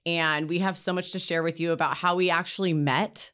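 A woman's voice: lowish voice, fairly even intonation, a little fast, fairly bright tone, smooth. A woman hosting a podcast in a studio, upbeat and enthusiastic, laughing easily. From California. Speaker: podcast host. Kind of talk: casual interview chat.

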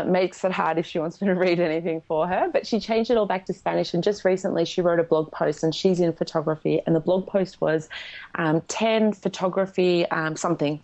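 A woman speaks frankly and emphatically as a podcast guest. Her voice sits low and carries a gravelly fry. The audio sounds slightly dull and lo-fi. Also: a little mellow. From Australia. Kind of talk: podcast interview.

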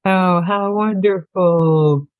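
A woman's voice: fairly low pitch, very slow, no echo, phone-quality sound. Gracious woman podcast host. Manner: gracious, asks long layered questions. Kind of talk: interviewing an author.

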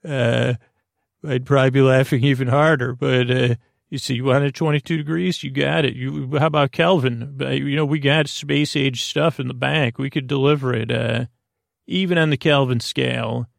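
A man hosting a sleep podcast, wandering through a silly, halting bedtime monologue full of restarts and asides. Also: mid-range voice, steady tone, vocal fry, no room sound, studio quality. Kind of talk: rambling, meandering bedtime monologue.

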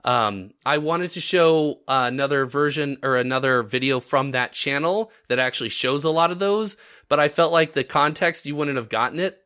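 Bright-voiced man on a casual podcast. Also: on the high side, normal speed, clear, resonant tone. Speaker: podcast host leading the episode.

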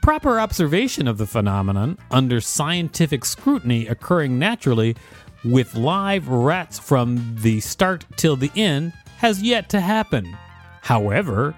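Mid-pitched man reading aloud over music. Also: very animated, measured pace. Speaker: co-host reading an article aloud. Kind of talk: reading an encyclopedia article aloud with casual asides.

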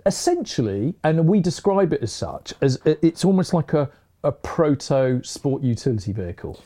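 A man's voice: fairly high pitch, very animated, normal speed, dark tone. A man hosting a casual podcast chat, joking at his own expense and chuckling often. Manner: jokey, self-mocking, chuckles often. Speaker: podcast host.

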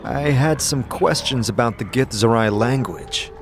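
A man's voice: mid-range voice, a little slow, music in the background, lightly acted. Man acting an earnest, plain-spoken adventurer. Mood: curious. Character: earnest, curious male wandering adventurer seeking answers.

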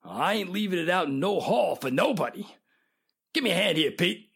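Gravelly, gruff delivery tough played by a man. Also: high-pitched, lively intonation, normal speed, a bit bright, exaggerated voice. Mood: pushy. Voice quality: raspy, gravelly. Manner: brusque street-tough slang. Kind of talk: 1940s radio drama acting.

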